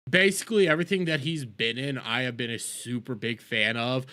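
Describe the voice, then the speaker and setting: A man's voice: medium pitch, fairly quick, sharp tone, clear, resonant tone. A man hosting a casual live reaction stream, talking bluntly with swearing and repeating phrases for emphasis. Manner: blunt, profane, opinionated.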